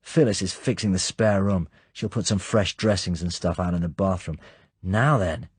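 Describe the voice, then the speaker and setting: A man voicing a brisk middle-aged British station chief who talks in a hearty, clipped, urgent way. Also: low-pitched, breathy edge, no room sound.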